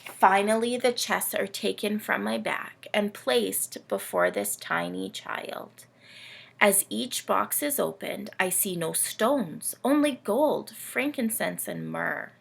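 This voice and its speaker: A woman voicing a melodramatic, vain, self-pitying male camel in a children's storybook, with drawn-out, theatrical delivery. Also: mid-range voice, expressive, vocal fry, husky, full character voice. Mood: awed, tender.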